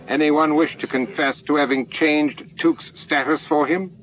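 A man acts out a cutting alien master from a sci-fi audiobook. The voice is raised high and coolly mocking, on a dull lo-fi recording. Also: steady tone, measured pace, natural voice. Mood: cool accusing. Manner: mocking, feigned surprise. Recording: dull lo-fi audio, faint noise.

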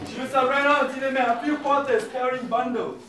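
A man's voice: very high voice, steady tone, fairly quick, pushed and bright, some chatter behind. A young man reading the narration of a story as a student in readers theatre. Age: young adult. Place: large lecture hall, far camera in audience. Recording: very echoey lecture hall, distant, audience murmur.

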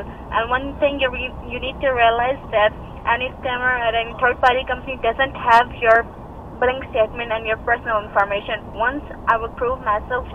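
A woman's voice: on the high side, a little hiss or hum. A woman on a phone call, repeating scripted demands in an insistent tone. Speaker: scam caller. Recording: muffled phone audio over car speaker.

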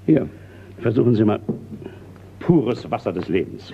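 Radio crime drama: older gentleman colonel, courteous, hesitant, creaky voice, muffled noisy recording. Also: lowish voice, sing-song, a little slow, full character voice. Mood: hospitable, polite. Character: retired colonel, older male art collector, courteous Scottish host. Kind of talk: German radio crime play acting.

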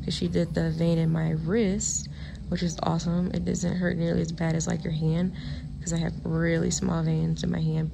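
A young woman in her twenties, hoarse and raspy after surgery, talking slowly and tiredly into a phone from a hospital bed. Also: on the low side. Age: 20s. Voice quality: raspy, dry-mouthed. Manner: slow, tired, many ums. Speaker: vlogger. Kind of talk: casual vlog, talking to camera. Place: hospital room, indoors. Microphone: phone held close, lying in bed.

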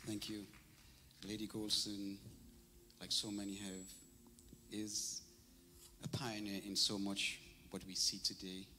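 A middle-aged man born in Trinidad giving a memorial tribute at a church service, speaking into a handheld mic, telling warm stories. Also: on the low side, takes it slowly, pauses often. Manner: warm storytelling, grows animated and laughing. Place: large church sanctuary. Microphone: handheld microphone. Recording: reverberant church hall, faint noise.

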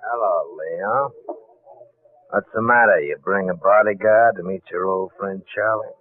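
An actor playing a menacing, needling ex-convict gangster in a 1940s radio crime drama. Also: male voice, deep voice, unhurried, a little hiss or hum. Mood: mocking menace. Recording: tinny vintage 1940s radio broadcast.

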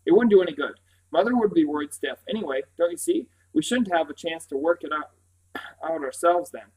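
A man's voice: a little high, lively intonation, a little fast, darkish tone, breathy edge, poor audio. A man voicing a bossy, serious older schoolboy in an audiobook, firm and grave in manner. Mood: stubborn. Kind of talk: audiobook character voice.

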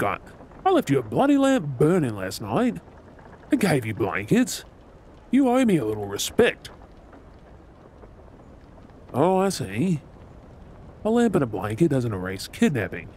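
A man playing a gruff, menacing, sardonic pirate captain in a dramatic audio role play. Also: mid-range voice, darkish tone. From Australia. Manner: commanding, swaggering, sardonic. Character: gruff, menacing male pirate captain, secretly soft-hearted. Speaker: ASMR role-play performer. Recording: sound effects and ambient noise behind. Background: ship ambience sound effects.

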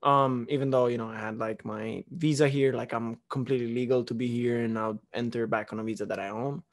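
A man's voice: mid-range voice, steady tone, a little fast. A young man from Romania, a podcast host chatting casually at home into a close studio mic, rambling with restarts and fillers. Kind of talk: casual chat. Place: living room at home. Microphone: studio mic on boom arm, close.

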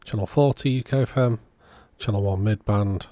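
A man's voice: lowish voice, normal speed, dark tone, no room sound. A man giving a casual, matter-of-fact workbench narration as the host of an electronics repair video.

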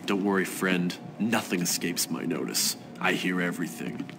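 An actor playing a smug, manipulative teenage schoolboy in a video game, with an American accent and a sarcastic, theatrical way of taunting. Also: male voice, low voice, fairly even intonation, normal speed, gravelly, noisy background. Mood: conspiratorial. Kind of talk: video game cutscene acting.

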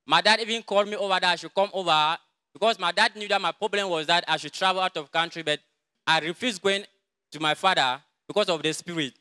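A young man with a Cameroonian English accent gives a quiet, halting testimony in a large crowded church, speaking into a handheld mic someone else holds. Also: sits high, steady tone, clear, resonant tone. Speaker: church member giving testimony. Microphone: handheld mic held by another person.

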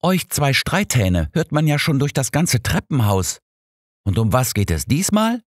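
A man's voice: medium pitch, big pitch swings, measured pace, dry recording, studio quality, pitched above the performer's own voice. A man voicing a firm, mildly annoyed woman in an audiobook, with a bossy manner. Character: firm, exasperated woman scolding two squabblers. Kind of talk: audiobook character dialogue.

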